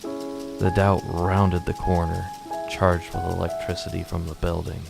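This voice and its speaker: A man giving a measured, solemn scripted narration for a radio drama in a gravelly voice. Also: sits low, completely flat delivery, loud music behind the voice. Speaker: writer and narrator.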